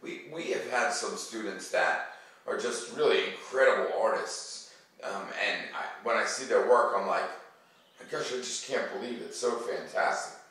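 Low-voiced man in a very echoey room. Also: normal speed, fairly bright tone. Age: middle-aged. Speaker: teacher hosting a read-aloud for students. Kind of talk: casual chat to kids. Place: living room at home, armchair by fireplace. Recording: very echoey room, distant mic.

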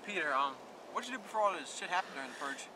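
A man's voice: high-pitched, expressive, rapid-fire, background noise. A young man rehearsing film dialogue in a hesitant, laid-back way, recorded outdoors on a camera mic. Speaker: amateur actor in film outtakes. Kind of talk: rehearsing scripted dialogue, casual. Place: outdoors in woods.

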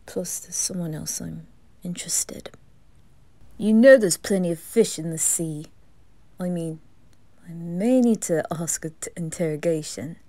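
A proud, reserved young nobleman and brother, acted out by a woman, in an ASMR fan roleplay. The voice is on the low side and unhurried, with a hushed, hesitant earnestness. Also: no echo. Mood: awkward, disgusted.

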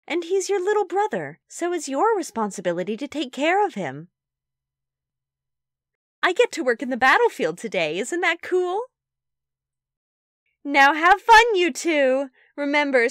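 A woman's voice: sits high, no echo, studio quality. A woman voicing an upbeat, affectionate, reassuring mother in an animation audition. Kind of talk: animated character audition lines.

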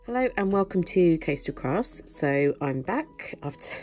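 A woman's voice: on the low side, lively intonation, measured pace, a little mellow, soft background music. A woman hosting a craft channel, chatting casually through a product haul while losing her voice. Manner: chatty, rambling with many fillers. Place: indoors at a craft desk. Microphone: camera overhead, hands in frame.

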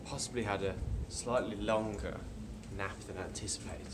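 A man's voice: on the low side, steady tone, normal speed, heavy background noise. A young man filming himself with a handheld camera at arm's length, making dry, wry remarks as he travels through airports, planes and hotel rooms. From England. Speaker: vlogger. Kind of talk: casual travel vlog narration.

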